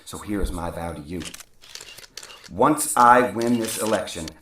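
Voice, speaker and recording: An actor playing a corrupt, self-important politician in an audio drama, speaking in an oratorical manner. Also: male voice, lowish voice, measured pace, sharp tone. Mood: solemn, grandiose. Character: corrupt, pompous billionaire mayor, a ludicrous politician. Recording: roomy, noisy recording with crackle.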